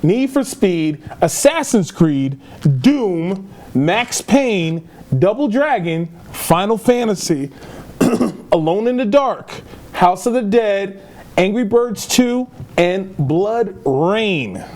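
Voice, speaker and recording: A man's voice: high voice, lively intonation, takes it slowly, a little mellow. A man co-hosting a geek news podcast, talking casually and chattily.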